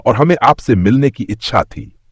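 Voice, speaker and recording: A man's voice: mid-range voice, expressive, normal speed, slightly rough, dry recording, studio quality, pitched above the performer's own voice. A man voicing a brave, curious young village boy in a children's story, earnest and enthusiastic. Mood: eager.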